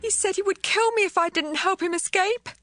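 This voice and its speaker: A woman's voice: extremely high pitch, fairly quick, fairly bright tone, faint music behind. A woman playing a worried, protective young wife in a dramatized audiobook. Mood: defensive.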